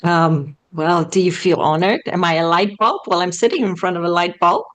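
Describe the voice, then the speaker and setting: A woman's voice: fairly low pitch, moderate pace. A woman in her forties from the Netherlands, a spiritual coach chatting openly in an interview, joking and using many fillers. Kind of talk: casual interview chat.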